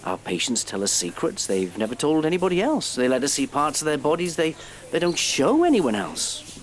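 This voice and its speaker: An actor playing a well-meaning, educated family doctor with a British accent in an audio play, speaking in a hesitant, articulate, slightly prim way. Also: male voice, a little high, sing-song, moderate pace. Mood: reflective, earnest. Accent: British English.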